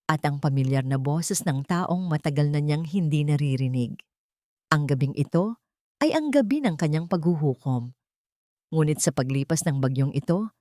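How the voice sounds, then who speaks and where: A woman's voice: fairly low pitch, darkish tone, dry recording, studio quality. A woman reading a scripted story as an audiobook narrator, in a dramatic storytelling style.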